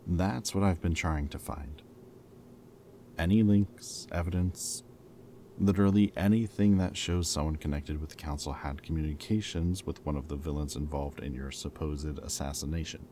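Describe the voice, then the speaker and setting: A man's voice: very deep voice, steady tone, normal speed, mellow, slight background noise. A man acting a wry, loyal ex-villain thief in a roleplay audio drama, talking casually, both teasing and earnest. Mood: earnest, probing.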